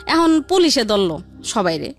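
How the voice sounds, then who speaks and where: A woman's voice: a little high, very animated, a little slow. A woman telling her life story in a rambling, repetitive, conversational way. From Barisal region, Bangladesh. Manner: rambling, repetitive, colloquial narration.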